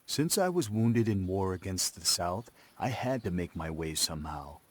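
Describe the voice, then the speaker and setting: An earnest young war veteran from a game cutscene, in a low, lively voice. He speaks with measured sincerity, like someone who holds to his principles. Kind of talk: video game cutscene acting and narration.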